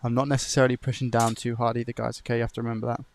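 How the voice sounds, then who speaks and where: A man live-streaming electronics repair, soft-spoken and chatty, talking calmly to his chat as he works. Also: medium pitch, very fast, a little hiss or hum. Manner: calm, chatty, talks to the chat while working.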